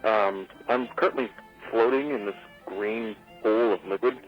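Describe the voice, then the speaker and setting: A muffled voicemail with faint music under it. A man plays a laid-back, bemused caller in an audio comedy, halting along in a lowish voice as if nothing much worries him. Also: close to an everyday voice.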